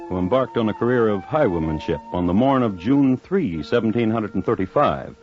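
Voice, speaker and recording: A man narrating an old radio crime drama in a scripted, deadpan, droll manner. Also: fairly low pitch. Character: droll storyteller of historic crimes. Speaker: host narrator. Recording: dull old radio recording, music behind.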